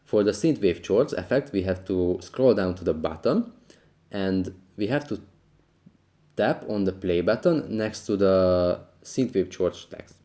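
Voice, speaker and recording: A man's voice: low-pitched, expressive, a little slow, warm tone. A man hosting a how-to tutorial, narrating the steps in a scripted, instructional style. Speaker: tutorial host.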